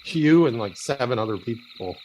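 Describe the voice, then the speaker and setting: A man's voice: medium pitch, lively intonation, normal speed, a little mellow, a little hiss or hum, natural voice, poor audio. An actor playing an inquisitive, obsessive male writer with a wry, pedantic manner in naturalistic audio drama dialogue. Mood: wry, curious.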